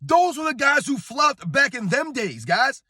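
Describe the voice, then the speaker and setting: A middle-aged man with a hoarse, worn-out voice rants to his viewers about sports. His voice sits high and sounds tense and bright, and he keeps calling them "guys" as he hammers his point home. The sound is close and dry, with no room around it. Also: very animated, a little slow, dry recording. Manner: emphatic, repetitive, addresses viewers as guys. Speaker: sports channel host. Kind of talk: casual talking-head rant. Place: on vacation at a beach.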